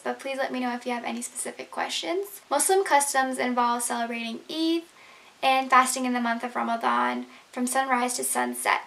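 Young woman from Canada, grew up speaking Urdu, high voice, earnest prepared talk recorded on a webcam. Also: vocal fry, sharp tone. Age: young adult. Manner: earnest and explanatory. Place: bedroom, indoors.

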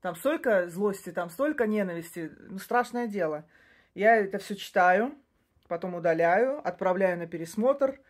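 A woman in her forties talks to her phone in a small room, chatty and rambling at an ordinary pitch. She often restarts her sentences and breaks off into short laughs. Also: animated, moderate pace. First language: Russian. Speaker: social media creator. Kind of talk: casual talk to camera. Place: small room indoors by a curtain. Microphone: phone camera close up.

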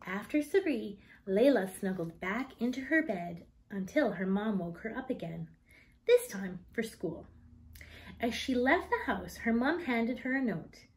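A woman in her thirties reading a children's picture book aloud in an expressive, deliberate manner. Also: a little high.

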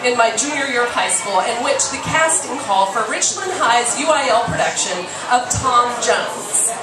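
A woman's voice: on the high side, expressive, normal speed, recorded from a distance. A woman in her forties reading a memoir aloud on stage with a theatrical, emphatic delivery. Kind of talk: live storytelling, reading a memoir excerpt aloud. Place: small dim bar stage, indoors. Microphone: stand microphone, filmed from the audience. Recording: roomy stage PA heard from off, music.

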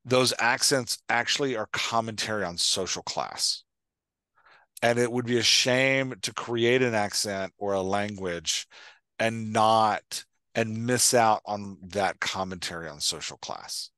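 A man's voice: fairly low pitch, a little slow, fairly bright tone, smooth, no room sound. A man in his forties chatting casually as he explains things, thinking aloud and restarting his sentences on a headset mic. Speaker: podcast host and writing coach. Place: indoor room on a video call.

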